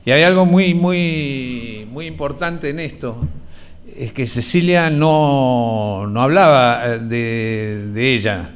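An Argentine man giving spoken testimony for a documentary, haltingly, often searching for his words. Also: medium pitch, clean tone. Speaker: interviewee, relative giving testimony.

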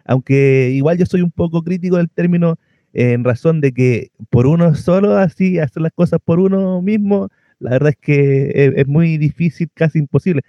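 A man's voice: on the high side, moderate pace, no echo, lo-fi sound. A man talking casually and reflectively, thinking aloud with some hesitation. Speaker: radio show participant.

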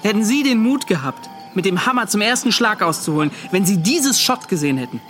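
An actor playing a haunted, bitter seaman in a radio play, giving his account in a brooding, intense, halting way. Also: sits high, expressive, a bit bright, soft background music. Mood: agitated. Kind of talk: radio play acting, first-person account.

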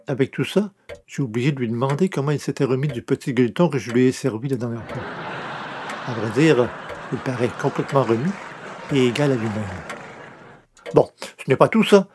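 A man's voice: mid-range voice, fairly quick, long pauses, noisy background, natural voice. A man playing a grandiose, easily exasperated would-be novelist in theatrical old-time radio comedy style. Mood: dry. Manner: theatrical, pompous, emphatic. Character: grandiose, exasperated male newspaper columnist turned would-be novelist. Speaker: lead character of the comedy series.

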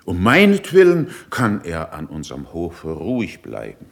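An actor playing a proud older statesman in a classical radio verse drama, with measured, emphatic declamation. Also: deep voice, wildly swooping pitch, gravelly, full character voice. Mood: composed, conciliatory. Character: proud, worldly older male statesman, critical yet controlled.

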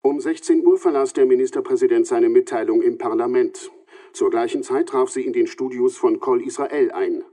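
A man acts as a sober radio reporter delivering scripted news in a documentary drama. The tone is dark and soft-edged, with a husky grain. The delivery stays formal and matter-of-fact. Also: medium pitch, moderate pace, close to an everyday voice.

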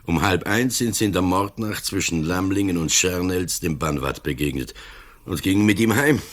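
An actor playing a wily, dry old police inspector with an ailing body and a husky voice, in a radio play. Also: male voice, deep voice, normal speed. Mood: dry, matter-of-fact. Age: older. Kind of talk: German radio play acting.

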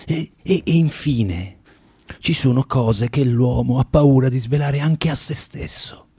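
Husky, bitter, tormented man of forty. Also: mid-range voice, dry recording. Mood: brooding, confessional. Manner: confessional, emphatic, halting with dramatic pauses, swinging from hushed to loud. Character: bitter, spiteful, tormented forty-year-old male former clerk. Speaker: actor. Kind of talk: theatrical radio drama monologue.

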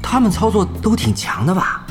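A starstruck young guild leader, played by a man, who trips over his words. His pitch swings widely as he fumbles through each answer. Music plays faintly behind him. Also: very animated, moderate pace. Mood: flustered. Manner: flustered, stammering.

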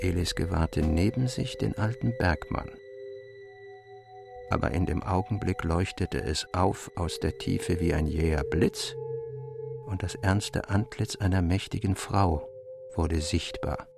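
A man narrating a literary tale in a measured, expressive and articulate dramatic audiobook style. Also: deep voice, gravelly, music in the background.

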